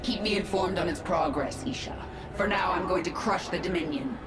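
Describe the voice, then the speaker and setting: A woman's voice: lowish voice, moderate pace. A woman playing a ruthless, vengeful queen of an alien swarm in a video game, giving imperious, clipped orders. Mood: commanding. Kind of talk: video game cinematic acting. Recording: echoing, loud music underneath.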